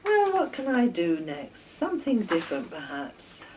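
A woman in her sixties reading a children's story aloud in a deliberate storytelling manner, pausing between phrases. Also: fairly low pitch, huge pitch swings, a little mellow. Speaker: children's story author and reader. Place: home kitchen, indoors. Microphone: camera a few metres away. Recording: distant camera mic, faint noise.

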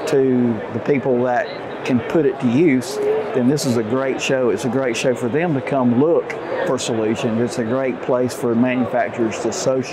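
A man in his fifties speaks as a sales director in an interview. His voice sits at a medium pitch, with loud trade show noise all around. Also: fairly even intonation, moderate pace. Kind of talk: promotional interview talk. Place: indoor trade show booth. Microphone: lapel mic.

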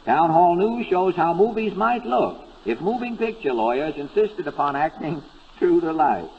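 A male radio announcer narrates in 1930s newsreel style. His voice is pitched high, and the recording is muffled with a light hiss. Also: big pitch swings, moderate pace.

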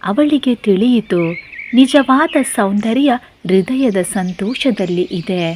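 A woman's voice: on the high side, slow, darkish tone, a little hiss or hum. A woman narrating a scripted children's story as storyteller.

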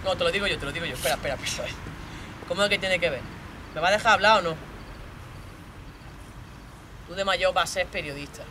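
A woman's voice: lowish voice, racing, noisy background, voice pushed down, lightly acted. A woman voicing a jealous, bullying young boyfriend from a stage monologue, with a Spain accent, domineering and badgering with plenty of swearing. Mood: controlling. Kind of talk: theatrical monologue role-play.

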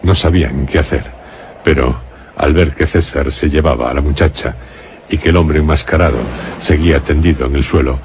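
A man narrating a radio horror drama as a grave storyteller, solemn and deliberate, with a Castilian accent. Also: extremely low pitch, darkish tone, a little hiss or hum. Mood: grave suspense. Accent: Castilian Spanish. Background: music.

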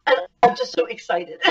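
A woman's voice: fairly high pitch, a bit bright, breathy edge. A woman guest with a Harlem New York accent, effusive and giggly in conversation. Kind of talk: casual chat. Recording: distant dull lo-fi audio.

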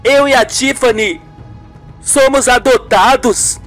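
A young nephew in a radio soap, as a woman plays him. He has a high voice and sounds earnest and puzzled, questioning insistently. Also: takes it slowly, faint music behind. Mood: insistent, anxious. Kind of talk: amateur radio soap acting.

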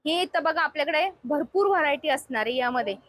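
A woman's voice: high voice, expressive, a little fast, a bit bright, dry recording. A woman hosting a casual shopping vlog, chattily explaining things to viewers inside a shop. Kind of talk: casual shop tour presentation.

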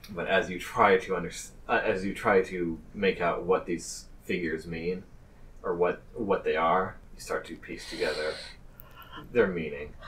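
Male game master, low register, halting improvised narration, slightly distant mic. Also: fairly bright tone. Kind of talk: narrating a tabletop role-playing game. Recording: distant mic with faint noise.

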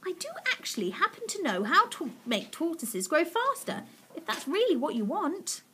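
A woman voicing a shy, lovesick gentleman in a children's story, speaking politely and solemnly. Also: extremely high pitch, sing-song, moderate pace, a little hiss or hum, voice pushed up, heavily acted. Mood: scheming, earnest.

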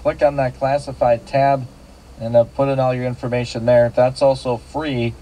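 A man's voice: mid-range voice, flat delivery, normal speed, dark tone, rough recording. A man hosting a local radio classifieds call-in show, politely repeating details back. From United States.

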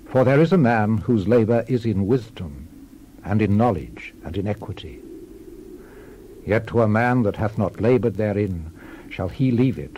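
A man playing a world-weary, reflective old king in a solemn, measured dramatized scripture reading, deliberate and letting his phrases fall away. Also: on the low side, warm tone, slight background noise. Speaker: actor in a dramatized Bible reading.

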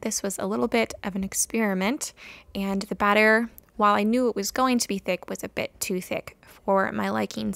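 A young woman narrating her homestead day in a calm voice-over, gentle and unhurried. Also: medium pitch, vocal fry, no room sound. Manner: gentle, unhurried, with soft laughs. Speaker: homesteading vlogger. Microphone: voice-over recorded separately.

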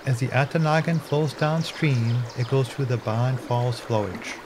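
Calm man narrating a fishing trip. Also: mid-range voice, a little slow, background noise. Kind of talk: scripted narration.

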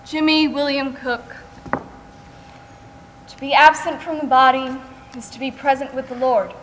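A high-pitched, folksy Southern woman, tender and devout, acted by a woman in a stage play. She is heard from far off in an echoey theater with clear background noise. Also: steady tone, unhurried, a bit bright. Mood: solemn. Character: kind, devout Southern woman caring for the dying. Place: large theater stage, recorded by far camera.

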